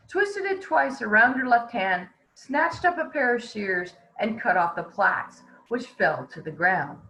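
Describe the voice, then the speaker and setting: A woman reading a fairy tale aloud to children in an expressive storytelling style. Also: a little high, a little hiss or hum, poor audio. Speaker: volunteer storybook reader.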